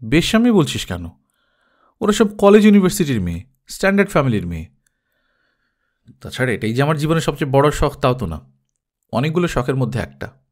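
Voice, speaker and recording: A man's voice: mid-range voice, big pitch swings, a little fast, long pauses, natural voice. A man playing a talkative, wistful middle-class elder brother in an audio story, rambling on matter-of-factly. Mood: defensive, puzzled.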